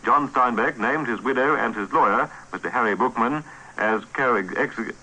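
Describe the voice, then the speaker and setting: A man's voice: mid-range voice, normal speed, sharp tone. A man reading formal scripted radio news. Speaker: newsreader. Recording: noisy archive radio broadcast.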